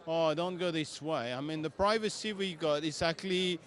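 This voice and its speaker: A man's voice: a little high, normal speed, a bit bright. A man with non-native phrasing giving an emphatic product demo at a trade show stand. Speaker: software demonstrator.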